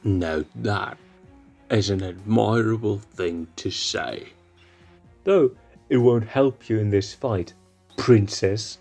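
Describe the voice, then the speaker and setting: A man's voice: fairly low pitch, slow pace, vocal fry, a little mellow, soft background music, heavily acted. A man voicing a cocky, bullying young male lion cub who mocks his rival in a fan story reading. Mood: mocking. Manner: taunting, mocking.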